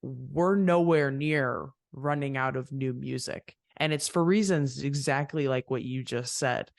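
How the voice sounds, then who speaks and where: A man hosts a relaxed interview with a musician, his mid-range voice carrying a gravelly texture. He muses at length and wanders through his thoughts, recorded close and dry with no room sound. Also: mid-range voice, unhurried, no room sound. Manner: reflective, rambling with fillers. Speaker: podcast host. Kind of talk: casual interview chat.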